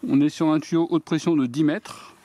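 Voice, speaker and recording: A man's voice: a little high, steady tone, normal speed, dark tone, a little hiss or hum. A man giving a hands-on, step-by-step demonstration of a tool outdoors on a garden deck, talking straight to viewers. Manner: plain step-by-step explaining, addresses viewers directly. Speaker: DIY video host.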